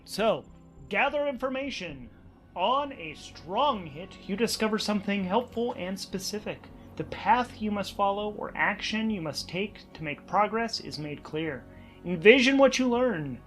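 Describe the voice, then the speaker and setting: A man's voice: high voice, animated, measured pace, fairly bright tone, soft background music. A man narrating and commenting as host and player of a solo tabletop role-playing game, conversational and enthusiastic.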